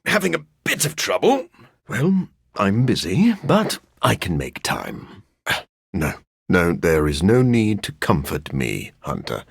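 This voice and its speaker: An actor voicing a brooding, sardonic vampire scientist for a video game, dry, erudite and theatrical, with a gravelly, husky voice. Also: male voice, sits low, dry recording, exaggerated voice. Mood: wry, pointed. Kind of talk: video game character voice lines.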